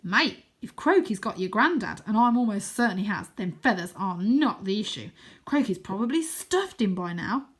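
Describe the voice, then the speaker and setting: A woman reading aloud puts on an excitable boy, half wizard and half ninja, from a children's story. He is boastful and chummy, and he delivers everything with theatrical relish. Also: mid-range voice, moderate pace, breathy edge. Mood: matey, confident. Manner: dramatic, switching between shouts and whispers.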